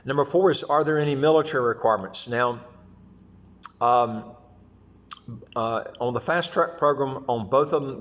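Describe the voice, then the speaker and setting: Male explainer-video host, mid-pitched voice, informal and halting delivery with repeated words and stumbles. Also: fairly bright tone. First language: English. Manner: halting, repetitive, stumbles over phrases. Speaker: host of a second-passport advice channel. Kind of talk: informal explanatory talk to viewers.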